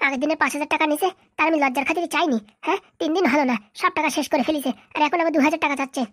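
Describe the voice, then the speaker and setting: Thrifty, scolding comedy-cartoon wife, acted by a woman: high, rapid-fire, exasperated delivery. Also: lightly acted. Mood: indignant. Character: thrifty, scolding wife refusing her husband money.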